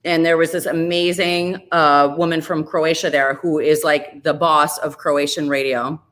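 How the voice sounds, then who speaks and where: A woman in her forties talks candidly in an interview, rambling and self-deprecating. Her voice sits a little low but has a bright, forceful edge. She speaks in an open, unpolished way. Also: level tone, measured pace. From Chicago area, US. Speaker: radio host, interview guest. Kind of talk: casual interview chat.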